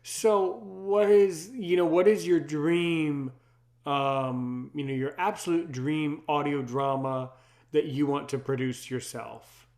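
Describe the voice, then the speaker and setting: Podcast host guy, voice a bit on the high side, chatty, repeats himself and stops and starts. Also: steady tone, takes it slowly, a little mellow. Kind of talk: casual interview chat.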